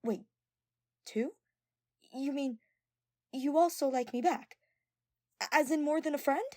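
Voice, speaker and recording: Woman voicing an excitable, high-pitched lovestruck girl. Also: slow, no room sound, studio quality. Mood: flustered, excited. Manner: stammering, gushing, emphatic. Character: excitable, nervous, affectionate young girl in love.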